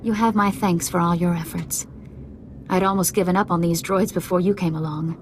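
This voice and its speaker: An actress playing a polished senator in a video game, formal, articulate and diplomatic. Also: female voice, lowish voice, fairly even intonation, a little fast, slight background noise, lightly acted. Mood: grateful. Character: polished, grateful female senator. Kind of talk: video game character acting.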